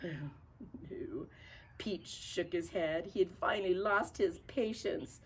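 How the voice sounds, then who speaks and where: A woman storyteller reading a children's picture book aloud in an expressive, theatrical way. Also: fairly low pitch, slow pace, background noise.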